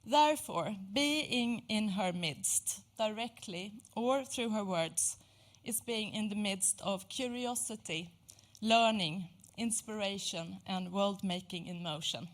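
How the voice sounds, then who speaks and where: A woman with a medium-pitched voice delivers a prepared introduction at a lecture. She goes very slowly, setting out each phrase in a measured, formal way. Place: indoor lecture venue.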